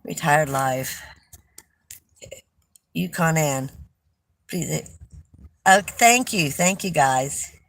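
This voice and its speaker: An older woman from California, hosting a casual livestream, chatting with viewers and telling stories in a loud voice. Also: fairly low pitch, low-quality recording. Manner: chatty, warm storytelling with restarts. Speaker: livestream host. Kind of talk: casual livestream chat reading viewer comments.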